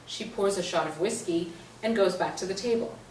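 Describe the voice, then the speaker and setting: A woman's voice: mid-range voice, normal speed, a little mellow. A woman narrator reading stage directions in a scripted play reading. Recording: roomy stage, distant mic, faint noise.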